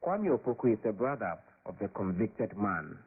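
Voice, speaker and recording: A man reading a scripted news report in a measured, formal way. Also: fairly low pitch, sing-song, breathy edge. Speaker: radio reporter. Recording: tinny shortwave radio.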